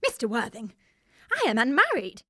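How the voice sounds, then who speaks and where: A prim, fussy governess in a comic radio play. Her high voice swings widely as she pronounces every formal phrase with flustered melodrama. The sound is close and dry. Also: big pitch swings, moderate pace, a bit bright, dry recording. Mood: shocked, indignant. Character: prim, flustered, unmarried older governess, confessing precisely. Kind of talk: radio play acting, period comedy.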